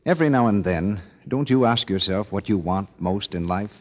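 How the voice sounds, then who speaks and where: A deep-voiced male announcer delivers a formal, salesy 1930s radio pitch. His husky voice moves around a lot in pitch. The recording is dull and muffled, with a long hall reverb. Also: expressive, normal speed.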